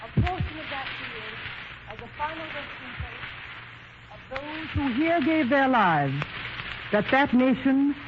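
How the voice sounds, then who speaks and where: High-voiced woman reciting on old radio. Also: lively intonation, a little slow. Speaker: actress demonstrating microphones. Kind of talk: formal recitation of a speech. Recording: noisy muffled 1930s radio recording.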